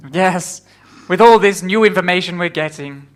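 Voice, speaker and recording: A woman playing a devoted, anxious villain's assistant in an improvised comic radio play, gushing and deferential. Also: on the low side. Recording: loud music over the voice.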